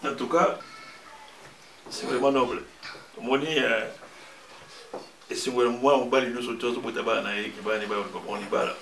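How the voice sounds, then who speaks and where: A man from Kinshasa giving rambling, halting advice at a family gathering. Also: medium pitch, fairly even intonation, a bit bright. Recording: echoey room, distant mic, background noise.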